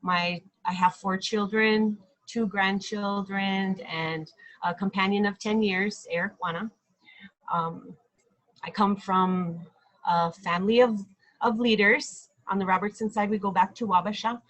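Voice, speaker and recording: A middle-aged woman from South Dakota introducing herself as an election candidate in a live video stream, speaking in an earnest, measured way with frequent ums and pauses. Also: medium pitch, fairly even intonation, a bit bright, low-quality recording. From Lake Traverse Reservation area, South Dakota. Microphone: webcam or phone, live video stream.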